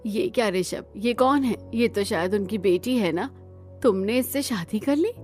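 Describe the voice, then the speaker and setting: Female actor as disapproving mother: shocked, melodramatic, medium pitch, faint music behind. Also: a little slow, a little mellow, lightly acted. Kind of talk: dramatized Hindi audio story acting.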